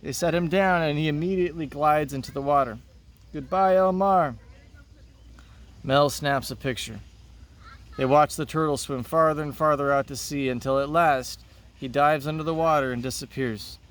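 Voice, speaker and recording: A man's voice: on the high side, steady tone, moderate pace, fairly bright tone, distant mic. A man speaking as a teacher, reading a children's play aloud to his students. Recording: noticeable background noise.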